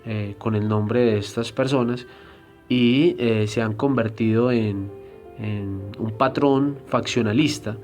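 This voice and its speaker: A man giving a church history lesson in a deliberate, explanatory way, often pausing with hesitation fillers. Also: on the low side, darkish tone, soft background music. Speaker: Bible teacher.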